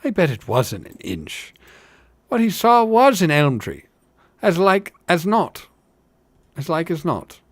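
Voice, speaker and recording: Skeptical hobbit for a storybook, voiced by a man: mocking, rustic, raised pitch, very animated. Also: slow, pauses often, husky, no echo, exaggerated voice. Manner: dismissive, rustic phrasing. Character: scoffing, skeptical male hobbit, mocking pub debater. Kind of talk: audiobook-style fantasy character reading.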